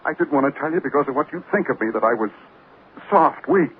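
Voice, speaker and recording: A man's voice: on the high side, expressive, fast talker, phone-quality sound, slight background noise, natural voice. An actor playing a weak-willed, nervous man in a murder plot, hesitant and pleading, in 1940s radio style on an old recording. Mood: anxious, confessing.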